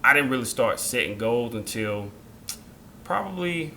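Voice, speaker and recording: A man in his thirties hosting an interview, talking in a relaxed, conversational way that often restarts its phrases. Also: mid-range voice, a little slow, vocal fry, a little hiss or hum. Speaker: interview host. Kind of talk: casual interview talk.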